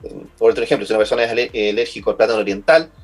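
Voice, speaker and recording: Chilean doctor guy, husky voice, explains slow and patient, stumbles on words a lot. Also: on the low side, faint music behind. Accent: Chilean Spanish. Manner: patient, repeats words while finding phrasing. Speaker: pulmonologist guest. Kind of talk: explanatory interview answers.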